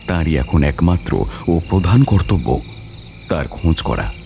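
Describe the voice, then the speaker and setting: A man's voice: a bass voice, darkish tone, a little hiss or hum. A man reading a scripted story as its narrator, with dramatic delivery.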